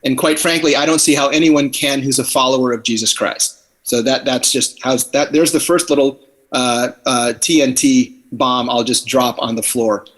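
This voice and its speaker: A man's voice: medium pitch, steady tone, normal speed, fairly bright tone. Wordy, thoughtful man on a video call. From Philippines. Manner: thoughtful, wordy, restarts often. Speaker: podcast guest. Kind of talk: casual conversation on a video call. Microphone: computer on a video call.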